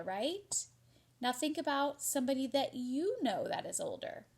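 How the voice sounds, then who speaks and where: A woman teacher giving an interactive read-aloud for children, explaining expressively and prompting listeners as she goes. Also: a little high.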